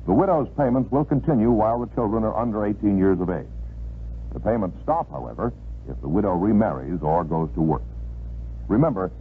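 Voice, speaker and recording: An American man announces a scripted public service message. His voice is fairly deep with animated intonation. The old radio recording is narrow-band and slightly hissy. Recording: muffled vintage radio recording, faint hiss.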